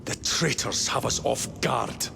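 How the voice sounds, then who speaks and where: A man's voice: medium pitch, slow, gravelly, noisy background. An actor playing a grim, weary male space marine captain who gives stern, clipped commands in a game cutscene. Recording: game audio with score underneath.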